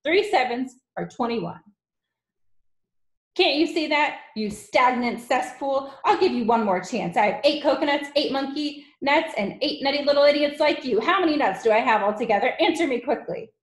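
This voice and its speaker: Woman acting a booming, tyrannical headmistress. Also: expressive, moderate pace, reverberant, close to an everyday voice. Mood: furious. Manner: barking, booming, shouted insults. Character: huge tyrannical bullying headmistress, contemptuous and explosive. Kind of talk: children's audiobook character voice.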